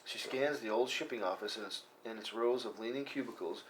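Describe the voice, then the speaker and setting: A man's voice: on the low side, brisk. A man reading out the action of a film script as narration at a table read. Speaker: reader of stage directions. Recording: noisy room with clicks.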